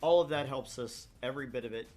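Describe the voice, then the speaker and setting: A man hosting a gaming livestream, chatting casually and plugging things in a rambling, halting way. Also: mid-range voice, a little mellow. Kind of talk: casual stream chat and promotion. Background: background music.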